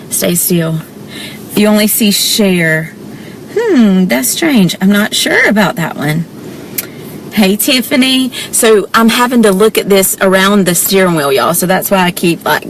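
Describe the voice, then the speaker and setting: A woman's voice: mid-range voice, slight background noise, rough recording. A woman from South Georgia with a Southern accent, chatting on a live stream from her parked car, greeting viewers by name and saying y'all a lot. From South Georgia, USA. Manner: chatty, warm greetings, frequent y'all, laughs often. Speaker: direct-sales presenter hosting a live stream. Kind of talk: casual livestream chat greeting viewers. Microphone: phone held or mounted in car.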